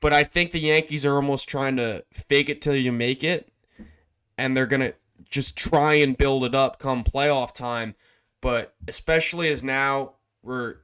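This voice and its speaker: A man's voice: medium pitch, a bit bright, clear, resonant tone. A man co-hosting a sports talk show, discussing the game in a casual, conversational way.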